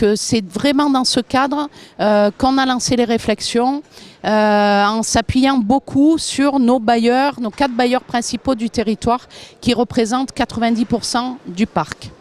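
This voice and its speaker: A woman with a fairly high voice speaks as a local official explaining her work. Her phrasing is measured and somewhat hesitant, with drawn-out pauses as she sets out each point. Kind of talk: explanatory interview testimonial, semi-prepared.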